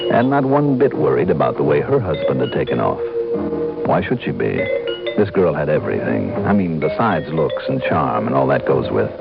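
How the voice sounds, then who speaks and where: An actor playing a wry, businesslike insurance investigator in an old-time radio detective drama, clipped and hard-boiled. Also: male voice, a bass voice, creaky, heavily acted. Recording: dull old radio recording, music behind.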